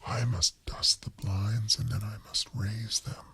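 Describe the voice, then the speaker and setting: A meek, henpecked dead husband from a radio play, read by a man. He recites dutifully in a low whisper, creaky and full of breath. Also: flat delivery, a little slow, pushed and bright, no echo, full character voice. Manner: dutiful, listing.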